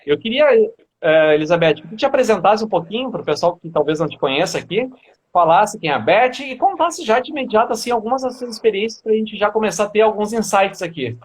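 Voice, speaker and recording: A man's voice: sits high, animated, fast talker, husky. A man hosting a motivational live stream, welcoming viewers by name and interviewing his guest. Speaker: host.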